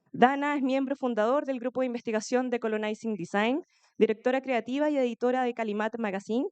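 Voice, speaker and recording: A woman hosting an event, reading a formal introduction of the guest quickly, with a few fillers. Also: fairly high pitch, darkish tone, clear, resonant tone, no echo. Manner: fast, with fillers at the start.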